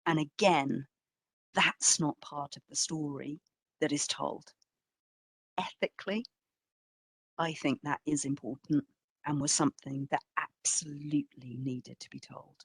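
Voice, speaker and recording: A woman's voice: low-pitched, fairly even intonation, unhurried, long pauses, breathy edge, dry recording. A woman giving a public history talk as a curator, reflective and emphatic, often posing rhetorical questions. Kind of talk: public history lecture, semi-scripted.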